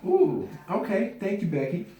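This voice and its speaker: A man's voice: high voice, wildly swooping pitch, moderate pace, dark tone. A man reading the part of an eager, easygoing young film actor at a script table read. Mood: friendly. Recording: roomy, distant mic, faint noise, clicks.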